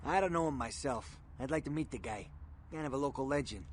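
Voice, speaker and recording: An actor playing a streetwise, world-weary criminal informant in a video game, talking tough and slangy in a conversational way. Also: male voice, medium pitch, expressive, fairly quick, slight background noise, natural voice. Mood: confiding, wary.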